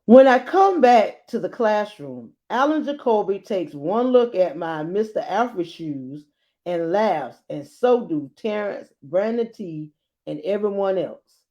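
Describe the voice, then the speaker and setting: A woman hosting a children's storybook read-aloud, reading expressively with dramatic emphasis and deliberate pauses. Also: medium pitch, animated, mellow, muffled recording.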